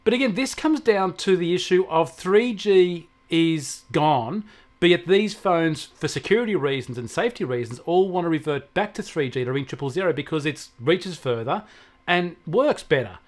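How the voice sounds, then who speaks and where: A man's voice: a little high, animated, normal speed. An Australian man talking conversationally as a technology commentator, explaining things emphatically and building his points through repetition. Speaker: technology commentator on a regular show.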